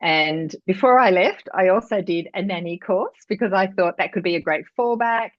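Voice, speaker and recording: An Australian woman in her fifties, a watercolor artist who is a guest telling her life story in a relaxed, chatty way. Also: mid-range voice, moderate pace, dry recording. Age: 50s. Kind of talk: casual interview storytelling. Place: her art studio in the heat. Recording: dry, dull lo-fi sound.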